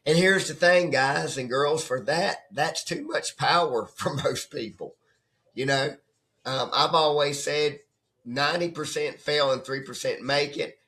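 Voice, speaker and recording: A hoarse man who has nearly lost his voice, talking as a trading coach in a rambling, conversational way and often checking in with "right?". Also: a little high, measured pace, pushed and bright. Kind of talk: casual off-the-cuff coaching talk. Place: at his computer desk.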